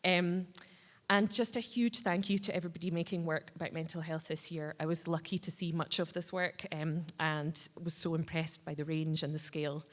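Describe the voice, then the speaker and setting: A woman's voice: sits low, flat delivery, normal speed. A woman giving a semi-prepared speech as a charity representative presenting at an awards ceremony. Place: large reverberant hall at a live ceremony. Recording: echoey hall with long reverb.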